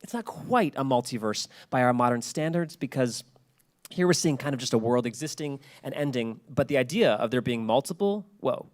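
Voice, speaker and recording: A man's voice: mid-range voice, expressive, normal speed. A man giving an informal public lecture in a chatty, colloquial storytelling way with asides. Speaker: presenter at a public talk.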